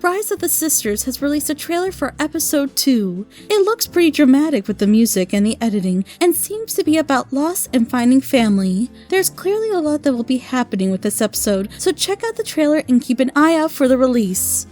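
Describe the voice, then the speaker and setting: A woman with a high, soft-edged voice narrates a scripted review. Her pitch moves in animated swings while her phrasing stays measured and careful. Faint music plays behind her as she hosts. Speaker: host and reviewer of a monthly audio drama show.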